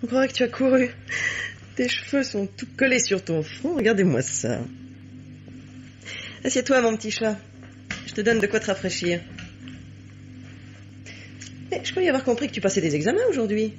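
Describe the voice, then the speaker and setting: Actress as suave rival woman, mid-high register, lively intonation, sweetly condescending, dull old radio sound. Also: normal speed, pauses often, natural voice. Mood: sugary. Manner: honeyed, condescending, coolly ironic. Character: poised, sugary, mocking woman, the suspected rival. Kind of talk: 1950s French radio mystery acting. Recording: dull, noisy vintage radio recording.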